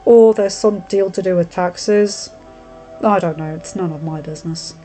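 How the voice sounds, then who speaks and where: A woman voicing a reserved young lowborn male blacksmith who speaks tersely and bluntly. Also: moderate pace, dark tone, faint music behind, close to an everyday voice. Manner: terse, plain-spoken, matter-of-fact.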